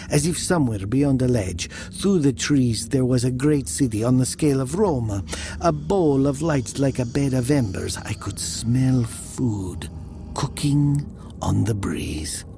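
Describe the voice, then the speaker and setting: Slow, creaky man narrating horror. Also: mid-range voice, noisy background. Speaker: story narrator. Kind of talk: dramatic first-person horror narration.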